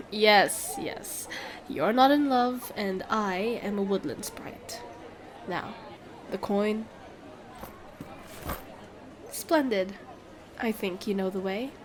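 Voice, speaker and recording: A woman playing a sly, mercenary keeper of a captive siren in a fantasy audio roleplay, unhurried and mocking. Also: mid-range voice. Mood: mocking, pleased. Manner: unhurried, smooth-talking, mocking.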